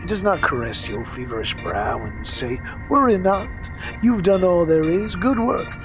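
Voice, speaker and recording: A man's voice: high-pitched, lively intonation, unhurried, music in the background, voice pushed up, poor audio. A man playing a damning radio preacher in an audio drama, with a rhythmic sermon cadence. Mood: fervent righteous. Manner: rhythmic cadence, sudden surges and drops.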